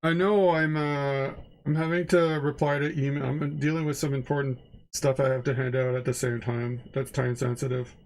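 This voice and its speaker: A man's voice: mid-range voice, level tone, moderate pace, poor audio. A man with a stuffed-up, nasal voice chatting casually and rambling while he draws on a livestream, swearing now and then. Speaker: art streamer.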